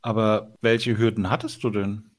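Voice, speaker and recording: A man's voice: lowish voice, flat delivery, normal speed. A man interviewing in a casual, chatty way, rephrasing his questions as he goes.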